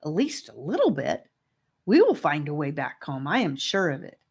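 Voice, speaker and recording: A woman voicing an eager, sly, excitable young boy in a children's audiobook. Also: sits low, normal speed, breathy edge, full character voice. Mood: urgent, persuasive.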